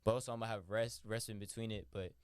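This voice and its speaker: A young man, a boxer, chatting casually in an interview, relaxed and rambling with lots of "like" and "you know". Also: on the low side, fast talker, clear, resonant tone, no echo, studio quality. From born in New York, raised in Florida. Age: young adult. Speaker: professional boxer, interview guest.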